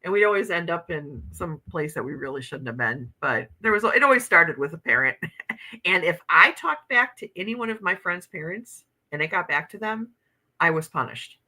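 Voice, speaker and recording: A woman's voice: on the low side, expressive, moderate pace, bright, forceful tone, poor audio. A woman in her fifties hosting a casual livestream, chatting and laughing as she reads out viewer comments. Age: 50s. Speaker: livestream host.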